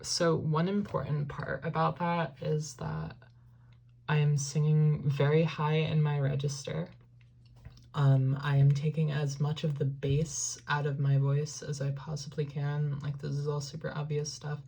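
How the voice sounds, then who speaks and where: A woman with a low, flat voice and a gravelly, husky edge. She walks through her vocal setup in a casual tutorial, rambling with fillers and apologizing when she loses the thread. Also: unhurried. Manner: rambling, self-deprecating, lots of "like" and "um". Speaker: musician explaining her vocal production.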